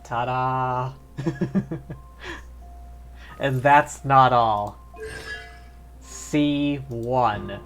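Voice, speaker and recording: A man's voice: mid-range voice, fairly even intonation, extremely slow, pauses often, fairly bright tone. A man chatting casually as he gives live commentary on a video game stream. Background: game music.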